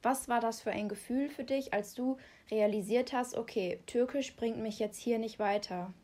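A woman with a somewhat high voice, conducting an interview. She asks long, careful questions and sums up her guest's answers. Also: moderate pace, dark tone.

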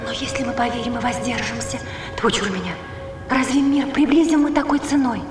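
A woman's voice: fairly high pitch, a little fast, over music, lightly acted. A woman playing an eager, earthy war wife in an ancient Greek film comedy, declaiming theatrical verse. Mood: earnest, doubting. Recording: long hall reverb.

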